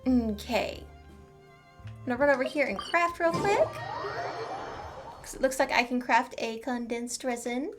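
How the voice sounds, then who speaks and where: A woman's voice: high-pitched, unhurried, pauses often. A woman giving casual, playful game commentary, talking to herself and tossing in little sound words. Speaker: gaming streamer. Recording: game music behind the voice. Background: video game music.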